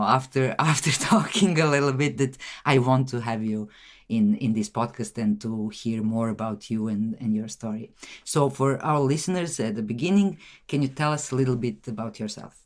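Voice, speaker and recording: A woman hosting a podcast interview, speaking in a friendly, hesitant way with non-native phrasing and frequent restarts. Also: rumbling low. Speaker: podcast host.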